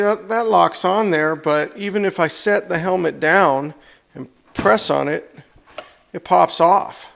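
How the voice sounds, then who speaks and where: A man's voice: fairly high pitch, gravelly, fairly bright tone. A man talking through a casual hands-on product review in a plain-spoken, blunt way, demonstrating as he goes. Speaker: product reviewer, motorcycle channel host.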